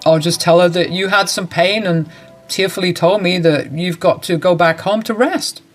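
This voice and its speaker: A woman puts on a cold grown son who talks in a lower, flat, matter-of-fact way, brushing his mother aside without any warmth. Faint music plays softly underneath. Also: moderate pace, lightly acted.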